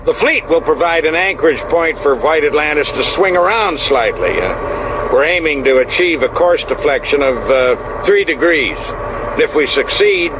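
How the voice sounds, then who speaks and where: Actor as a measured admiral, engine noise behind. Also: male voice, fairly high pitch, expressive, natural voice. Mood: measured, sober. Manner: measured, halting explanation. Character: measured, sober American navy admiral. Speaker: navy admiral being interviewed. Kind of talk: interview answers in radio drama.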